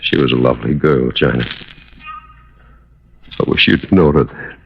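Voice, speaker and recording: An actor in a 1950s radio drama playing a weary, heartbroken husband who speaks in a halting, resigned, wistful way. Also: male voice, rumbling low, fairly even intonation, vocal fry. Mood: grieving, dazed. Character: weary, heartbroken husband confessing to covering for his firebug wife. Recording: dull, noisy old radio recording.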